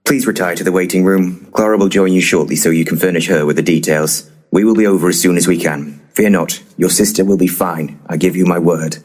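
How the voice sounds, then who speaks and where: An actor playing a solemn, long-winded male paranormal investigator with a formal, ponderous deadpan, in a comedic audio drama. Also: low-pitched, a little fast, hardly any pauses. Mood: formal, reassuring.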